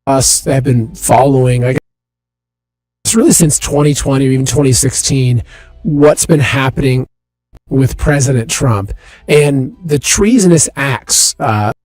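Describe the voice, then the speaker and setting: A man hosting a talk show, his voice hoarse and raspy while he recovers from an illness, speaking loosely with fillers. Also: mid-range voice, measured pace, darkish tone, dry recording, poor audio. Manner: loose, filler-heavy delivery. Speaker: show host. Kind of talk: hosted talk show monologue.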